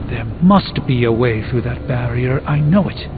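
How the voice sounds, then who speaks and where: A man plays a grave, learned sorcerer of middle age in a video game. He speaks formally and with measured foreboding at a medium pitch. The sound is thin and phone-like, with soft music behind. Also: animated, lightly acted. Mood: measured, intent. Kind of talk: scripted video game dialogue.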